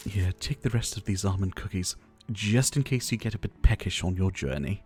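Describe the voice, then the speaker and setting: Male actor as devoted, ailing pharmacist for ASMR roleplay; lowish register, soft, courteous, wistfully theatrical delivery over background music. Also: expressive, moderate pace. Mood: gracious, fond. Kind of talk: ASMR roleplay addressed to the listener.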